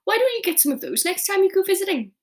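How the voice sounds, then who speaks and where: A woman's voice: very high-pitched, very animated, quick pace, pitched above the performer's own voice. Woman doing a curious polite little girl. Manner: eager, bright questions. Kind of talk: storybook reading aloud.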